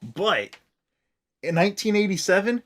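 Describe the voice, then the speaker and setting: Man, American accent, slightly high voice, podcast co-host, casual explainer, sweary, animated. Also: normal speed. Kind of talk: casual comedic history explainer chat.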